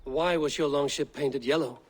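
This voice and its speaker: A man playing a stoic, grim Viking warrior in a video game, speaking in blunt, measured, probing questions. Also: a little high, steady tone, big-room sound, natural voice. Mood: questioning. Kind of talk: video game dialogue acting.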